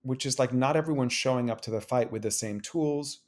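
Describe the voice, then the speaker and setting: A man who is a guest on an interview show, talking casually, animated, restarting and repeating phrases. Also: medium pitch, brisk. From Cuban-American. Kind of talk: casual conversation. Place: New York City.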